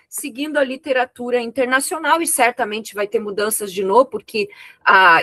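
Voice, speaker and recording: Higher-voiced woman giving frank academic feedback. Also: fairly bright tone, dry recording. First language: an unwritten oral language. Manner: thoughtful, frank, pauses often with fillers. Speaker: examiner on a master's thesis committee. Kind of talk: spoken academic feedback to a student. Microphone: video call.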